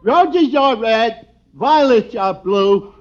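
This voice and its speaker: An actor playing a childlike, dim-witted barfly with a goofy delivery in a 1940s radio comedy. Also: male voice, very high-pitched, animated, very slow, heavily acted. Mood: earnest, goofy. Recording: dull, noisy old radio recording.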